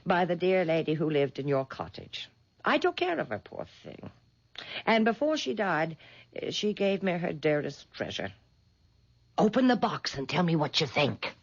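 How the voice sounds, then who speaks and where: An actress playing a frail, superstitious old lady in a radio drama, with a hesitant, coaxing manner and a husky, quavering voice. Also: lowish voice, very animated, moderate pace, exaggerated voice. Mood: fond, excited. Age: older. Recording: dull vintage radio recording.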